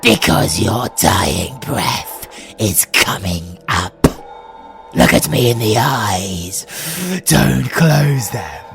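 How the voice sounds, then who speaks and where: A menacing vampire villain for an audio drama. His voice creaks with fry and swings widely in pitch. He savours his threats with hungry, theatrical cruelty over a light bed of music. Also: expressive, a bit bright, full character voice. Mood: sadistic, gloating. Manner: slow, savouring, menacing delivery. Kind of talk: audio roleplay villain acting.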